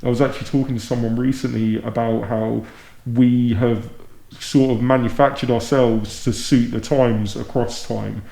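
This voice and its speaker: A man's voice: medium pitch, little pitch movement, moderate pace, gravelly, hardly any pauses, dark tone. A man hosting a casual podcast conversation, earnest and effusive in how he talks. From Luton, England. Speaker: podcast host. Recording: roomy, echoey sound.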